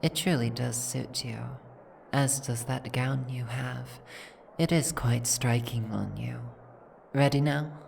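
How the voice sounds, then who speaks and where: A woman voicing a stern, imperious female dragon queen in an audio roleplay, speaking in a formal, regal, measured way. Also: a bass voice, fairly even intonation, creaky, darkish tone, a little hiss or hum, full character voice. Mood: thoughtful, softened. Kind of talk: ASMR audio roleplay acting.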